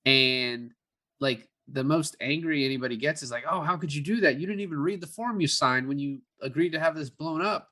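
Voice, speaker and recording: A man's voice: a little high, a little fast, sharp tone. A man co-hosting a podcast in a casual film review chat, hedging as he works through his thoughts. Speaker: podcast co-host.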